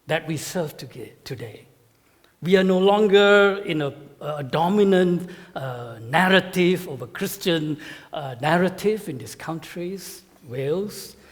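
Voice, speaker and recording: A man giving a conference talk to pastors as a guest speaker, with a measured, halting delivery full of fillers and repeated words. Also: on the high side, a little mellow. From Malaysia. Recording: reverberant hall sound.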